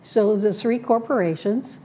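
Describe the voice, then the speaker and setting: A woman serving as a community board director, laying out her plans in an interview, listing points slowly with many fillers and restarts. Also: medium pitch, dark tone, low-quality recording. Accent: Southern Californian. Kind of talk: prepared statement in an interview.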